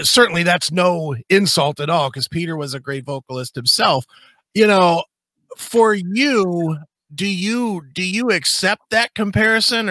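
Casual male interviewer, slightly high and bright, dry recording. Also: dry recording. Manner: casual, short affirmations. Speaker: podcast host. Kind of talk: interview questions.

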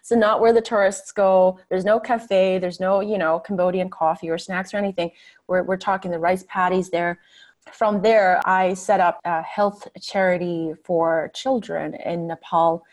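A woman's voice: on the low side, steady tone, a little slow, a little mellow. A woman who grew up in Scandinavia, a guest telling her story in an articulate, conversational way. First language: a Scandinavian language. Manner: articulate, storytelling, with light laughs. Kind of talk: interview conversation.

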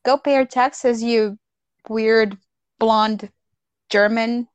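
A woman's voice: on the high side, steady tone, very slow, smooth, no echo, lo-fi sound. A woman ranting bluntly and profanely in a casual chat as a podcast co-host.

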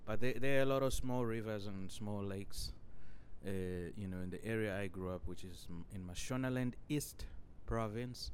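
A man from Zimbabwe, hosting a solo podcast and spinning a long, wandering story. His voice sits a bit low, and he fills every pause with "you know". Faint noise hums under the recording. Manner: rambling, leans on "you know" constantly. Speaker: podcast host.

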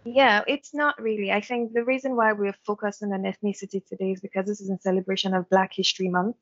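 A woman's voice: medium pitch, steady tone, fast talker, dry recording. A woman moderating an online panel, chatty and giggly, with loose phrasing. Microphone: video call. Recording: dry, muffled video-call audio.

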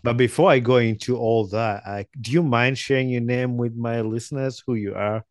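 A man's voice: medium pitch, moderate pace, no echo, clean studio sound. A man with a Ugandan English accent hosting a casual podcast interview, rambling and hedging with lots of "like"s. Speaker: podcast host.

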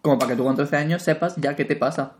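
A man's voice: on the high side, quick pace. Laid-back young man chatting, mouth full. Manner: laid-back, jokey, eating cereal while talking. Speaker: podcast co-host. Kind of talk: casual podcast chat.

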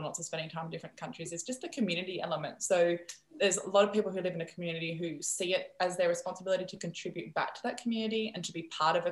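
A young woman from the Netherlands, a native Dutch speaker, talking as a guest in a podcast interview, animated and filler-heavy. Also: lowish voice, roomy sound, rough recording. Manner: animated, quick, frequent fillers and laughs.

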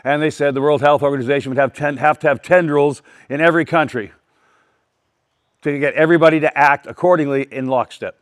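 A man addressing a live town hall audience with a loud, emphatic voice, rambling and often restarting his sentences. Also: fairly high pitch, fairly even intonation, a little fast. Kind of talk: public speech to a live audience. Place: public meeting with a live audience.

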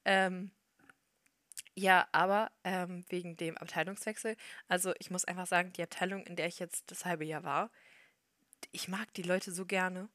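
A young woman co-hosting a podcast in casual chat, talking quickly and laughing often. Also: on the low side, steady tone, a bit bright, no echo, clean studio sound. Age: young adult. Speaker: podcast co-host.

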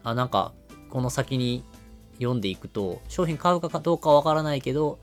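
A man's voice: mid-range voice, animated, moderate pace, faint music behind. A man explaining things step by step in a business podcast style, using everyday examples. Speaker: podcast host explaining marketing.

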